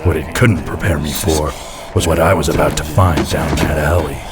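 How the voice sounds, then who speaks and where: An actor playing a boozy, crude, surly detective in a deadpan hardboiled noir parody, grumbling gruffly. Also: male voice, rumbling low, normal speed, over loud music. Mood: deadpan narration. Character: boozy, crude, surly pill-popping male private detective.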